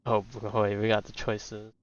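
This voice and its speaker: Low-voiced man, offhand, slightly muffled mic. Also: flat delivery, measured pace, no echo. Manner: slangy, offhand, thinking aloud. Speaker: gamer playing through and commenting. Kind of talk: casual gameplay commentary.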